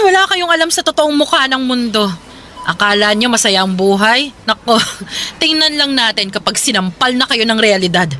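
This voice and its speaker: A woman's voice: on the high side, bright, forceful tone, slight background noise. An actress playing a bitter, scolding older woman who works in a grocery, in a radio love drama, curt and sarcastic. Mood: bitter, angry. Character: grumpy, bitter older female grocery co-worker. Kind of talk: Tagalog radio love-story drama acting.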